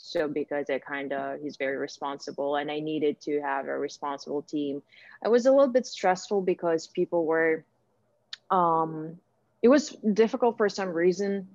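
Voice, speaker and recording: Casting: woman, Ukrainian accent, low register, conversational and hesitant interviewee, muffled lo-fi recording. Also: normal speed, vocal fry, darkish tone. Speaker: interviewee, actor and director. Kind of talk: casual interview answers. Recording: echoey room, dull lo-fi sound.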